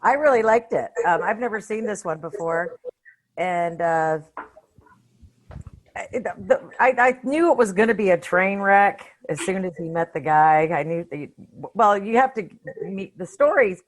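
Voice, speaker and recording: A woman's voice: medium pitch, animated, measured pace. A woman in a casual discussion as a podcast panelist, speaking in an informal, opinionated, slangy way. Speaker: podcast panelist reviewing films.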